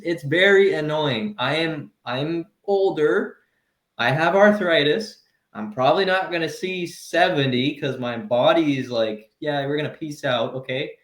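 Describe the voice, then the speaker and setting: A fired-up man in his thirties rants on a comedy podcast, swearing freely and laughing through his gripes. His voice sits a little high, and the room around him rings with a roomy echo. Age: 30s. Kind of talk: casual podcast chat.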